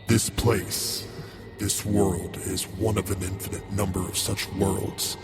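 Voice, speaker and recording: Man voicing a deep, eerie otherworldly spirit. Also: little pitch movement, takes it slowly. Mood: patient, explaining. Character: eerie otherworldly spirit speaking through children, calm and knowing. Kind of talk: scripted horror story dialogue. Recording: loud music behind the voice.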